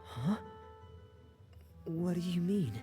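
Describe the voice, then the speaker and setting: A man voicing a shy, apologetic younger brother in an anime game, soft, subdued and hesitant. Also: sits high, animated, slow, soft background music.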